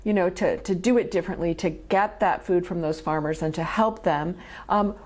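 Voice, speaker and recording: A woman's voice: lowish voice, moderate pace, a little mellow. A woman hosting an interview, asking questions in an enthusiastic, encouraging way. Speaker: host, interviewer. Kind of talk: friendly interview.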